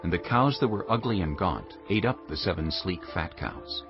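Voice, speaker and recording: A man giving a solemn, measured and dramatic narration of scripture, formal in delivery. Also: low voice, expressive, lo-fi sound, over music.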